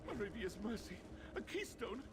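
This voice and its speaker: An anxious scholar pleading in a fantasy video game. His voice is high and swings widely in pitch, with clear noise around it. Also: big pitch swings, normal speed. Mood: urgent, pleading.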